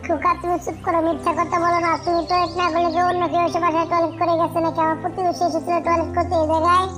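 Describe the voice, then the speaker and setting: A woman's voice: extremely high pitch, flat delivery, very fast. A woman voicing fussy, scolding female birds in a cartoon story, complaining as she goes. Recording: music behind the voice.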